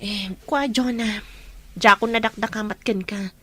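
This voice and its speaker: A woman's voice: mid-range voice, steady tone, unhurried, a bit bright, breathy edge. A woman playing a concerned, questioning friend in an audio romance drama. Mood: probing. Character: concerned, questioning female friend caught between two friends.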